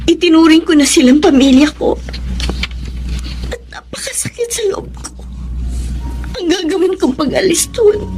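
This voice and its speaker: A woman's voice: very high-pitched, expressive, moderate pace, pauses often, a little mellow, faint music behind. A woman acting a devoted, guilt-ridden young older sister in an emotional, heartfelt radio drama style. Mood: sad, tender.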